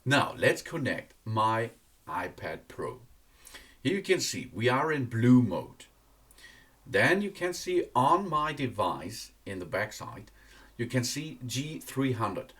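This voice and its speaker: A man who is not a native English speaker gives a casual product review in an enthusiastic but halting way, repeating words. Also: medium pitch, sing-song, a bit bright. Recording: distant microphone.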